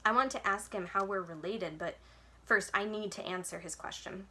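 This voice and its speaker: A woman's voice: medium pitch, moderate pace, sharp tone. A woman narrating a children's story as a library storyteller, reading from the text. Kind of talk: children's book read-aloud, scripted narration.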